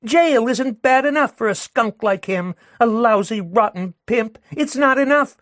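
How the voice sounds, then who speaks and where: A man's voice: animated, unhurried, a bit bright, no room sound, studio quality, heavily acted. A man voicing a furious young working-class woman who rails and jeers with scorn. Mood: indignant. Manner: shrill, jeering, railing.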